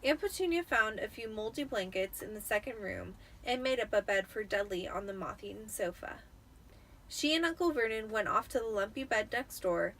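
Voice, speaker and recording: Casting: female storyteller, slightly drunk, high voice, dramatic and expressive, recorded with clear background noise. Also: poor audio. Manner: expressive storytelling with occasional stumbles. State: tipsy. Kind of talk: dramatic audiobook-style narration.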